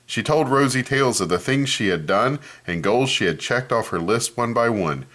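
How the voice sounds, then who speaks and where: A man reading a children's picture book aloud in an expressive storytelling manner, commenting on the pages as he goes. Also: medium pitch, moderate pace.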